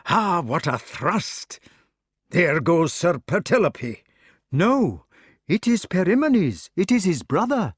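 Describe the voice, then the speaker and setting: A man voicing an excitable, fretful old king who cries out in a high, breathless voice. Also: slow, breathy edge, no echo, clean studio sound, exaggerated voice. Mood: excited. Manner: high-pitched, breathless, exclaiming.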